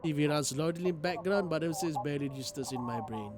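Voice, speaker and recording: High-voiced male game streamer reading dramatically. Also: fast, a loud crowd. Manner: dramatic, reactive. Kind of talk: live playthrough, reading game text aloud with reactions. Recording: guitar game music behind the voice.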